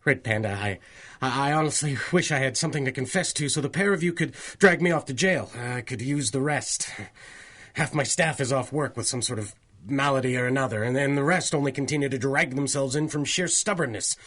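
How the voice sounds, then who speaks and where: A man playing a worn-out public safety commissioner with a cold, halting, wordy and exasperated, in 1930s-style radio drama. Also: mid-range voice, fairly bright tone, natural voice. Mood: exasperated, miserable. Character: weary, put-upon male public safety commissioner with a cold. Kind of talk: 1930s radio adventure acting.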